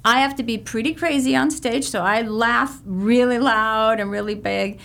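Woman guest, animated storyteller, laughs easily, casual chat. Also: on the high side, moderate pace, vocal fry. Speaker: guest, singer and laughter workshop leader.

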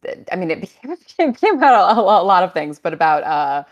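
A woman with a notably low voice talks as a series writer on a panel. She is casual and thoughtful, often halting and doubling back on words as she works out what she means. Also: very animated, muffled recording.

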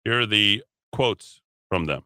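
A man's voice: fairly low pitch, animated, a little slow, sharp tone, no echo, studio quality. A man hosting a talk radio show, opinionated, reading items aloud and mocking them, taking calls. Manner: opinionated, reads quotes aloud and mocks them. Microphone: studio broadcast mic.

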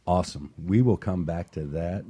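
A man's voice: low voice, moderate pace, mellow, husky. A man hosting a motivational radio talk show, speaking earnestly and emphatically and repeating phrases for weight.

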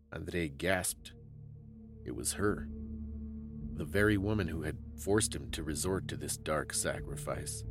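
A man giving a dramatic scripted story reading as a narrator, solemn and suspenseful, with deliberate phrasing. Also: low voice. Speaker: storyteller and podcast host.

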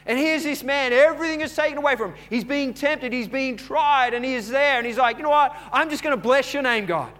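A man preaching a sermon in a conversational, storytelling way, repeating words as he goes and building from quiet chat to louder preaching. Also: very high-pitched, a little fast, few pauses. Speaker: pastor.

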